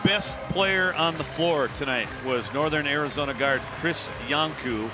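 Brief: middle-aged male sportscaster, a touch high-pitched, crisp and brisk, headset mic at an arena desk, music bed playing under him. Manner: brisk, polished broadcast delivery. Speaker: play-by-play host. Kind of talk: post-game sports wrap.

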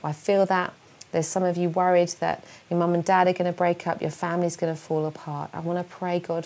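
A woman's voice: on the low side, a little mellow. A woman in her forties giving an earnest, encouraging devotional talk and prayer to young people, recorded on a lapel mic in a small room. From England. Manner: earnest, encouraging, speaks with open hand gestures. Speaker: speaker giving a keynote talk. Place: small room at home, indoors.

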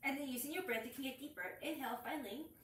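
A young woman teaching a yoga class in a small room, her voice set a little high and caught from across the room by a distant camera. The room adds a clear echo. She explains each point and breaks off to cue inhales and exhales as she moves through the poses. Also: fast, fairly bright tone, a little hiss or hum. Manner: explains while demonstrating poses, cueing breaths. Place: small room with curtains and yoga mat, indoors. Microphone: far camera. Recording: echoey room, far from mic.

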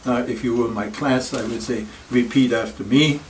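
A man's voice: medium pitch, fairly even intonation. Older Danish-accented man lecturing in a library. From Denmark. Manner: thoughtful and wry, with pauses and self-deprecating asides. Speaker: speaker giving a library talk. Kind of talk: reflective public lecture. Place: wood-paneled library room. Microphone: far camera. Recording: distant room recording with faint noise.

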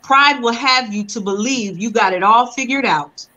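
A young woman preaches to her congregation over a video call, her voice coming through a webcam with slightly dull, muffled sound. She slows down to read scripture aloud, then explains it in loose, conversational preaching talk. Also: fairly high pitch, bright, forceful tone. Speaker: reverend preaching. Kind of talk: sermon. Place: room at home.

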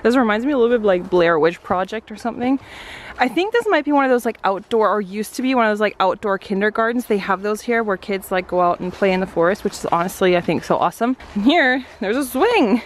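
A woman's voice: mid-range voice, lively intonation, fast. A woman in her thirties chatting casually to her vlog audience in an informal, chatty way. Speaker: vlogger. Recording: clearly noisy, car and outdoor ambience.

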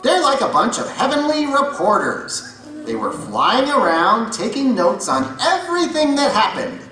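Man voicing Bob, a bright-voiced cocky puppet. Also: extremely high pitch, huge pitch swings, measured pace, faint music behind, exaggerated voice. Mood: smug. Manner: smug, bantering, overconfident. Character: Bob, cocky dim-witted male puppet answer man. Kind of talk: comic puppet show sketch. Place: indoor hall puppet stage, camera at a distance. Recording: roomy puppet stage, distant microphone.

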